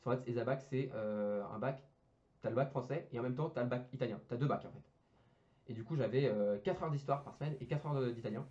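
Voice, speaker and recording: A young man talking quickly in loose, casual speech, tripping over fillers and restarting his sentences. His slangy chat comes through on a dull, lo-fi recording. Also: mid-range voice, a little mellow. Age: young adult. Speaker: engineering student guest.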